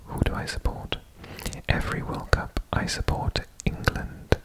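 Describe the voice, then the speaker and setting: A person from Wales whispering in a breathy, deliberate way for ASMR, repeating words. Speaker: ASMR host presenting a personal guessing quiz.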